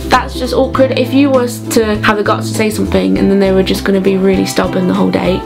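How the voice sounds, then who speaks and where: A woman's voice: mid-range voice, flat delivery, fast, gravelly. A young woman, chatting casually and informally as she gives advice to camera, rambling a little and acting out quotes. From England. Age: young adult. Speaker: vlogger. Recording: music playing behind the voice.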